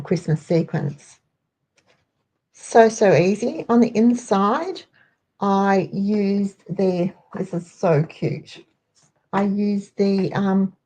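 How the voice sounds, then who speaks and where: A woman hosting a casual live crafting tutorial, chatty and rambling, with a croaky voice today. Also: mid-range voice, dark tone, low-quality recording. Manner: chatty, rambling, greets viewers by name. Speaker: crafting host.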